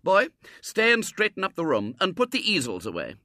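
A brisk storybook schoolmistress, acted out by a man, giving orders to children. The voice is high and forceful, swinging widely in pitch. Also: huge pitch swings, no echo, studio quality, full character voice. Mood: bossy. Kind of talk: storybook character voice in narration.

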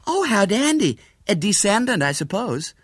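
Man putting on a high, gushing young American lady. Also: big pitch swings, normal speed, no echo, studio quality. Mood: excited. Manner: gushing, eager, wide-eyed. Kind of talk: storybook character voice.

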